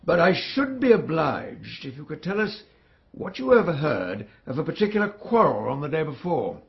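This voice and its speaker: An actor with a British accent playing a stern, formal coroner questioning witnesses in a measured, probing way in a radio drama. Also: male voice, on the high side, very animated, gravelly. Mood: probing, insistent. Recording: dull, band-limited radio drama recording.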